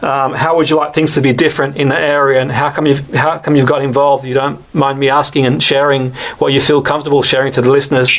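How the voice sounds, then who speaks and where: A man's voice: medium pitch, flat delivery, fast talker, few pauses. A man hosting a radio sports show, asking long rambling questions full of repeats and fillers. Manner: rambling, hesitant, many repeated words and fillers. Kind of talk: radio interview.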